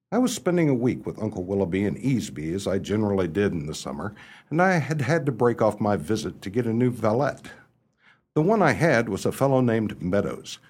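Flighty young english toff in a radio play, lowish husky voice, chatty and swoopy. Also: male voice, a little mellow. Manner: chatty, breezy, theatrical upper-class phrasing. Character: flighty well-off young English gentleman bachelor, amiable but muddled. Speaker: narrator and lead character. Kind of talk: radio play acting, storytelling narration.

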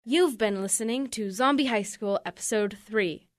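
A woman's voice: on the high side, normal speed, no room sound, clean studio sound. A woman announcer reading scripted show credits. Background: music.

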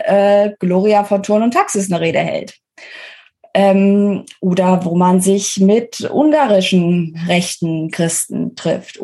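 A woman's voice: lowish voice, mellow. A woman, explaining things as an interview guest in an emphatic, colloquial and articulate way. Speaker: guest author interviewed about her book. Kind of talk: conversational podcast interview, explanatory.

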